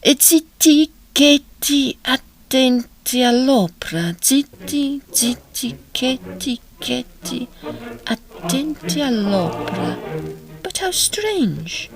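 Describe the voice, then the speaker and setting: A woman's voice: high-pitched, big pitch swings, takes it slowly, over music, full character voice, poor audio. A woman playing an anxious, genteel opera prima donna in a period farce, with a theatrical manner. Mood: puzzled. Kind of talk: period farce audio acting.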